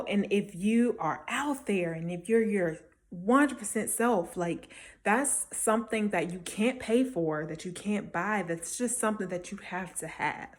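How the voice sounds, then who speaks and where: A woman talking casually and encouragingly as a small-business vendor giving advice, emphatic and conversational, often checking in with the listener. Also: mid-range voice. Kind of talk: casual motivational advice talk to camera.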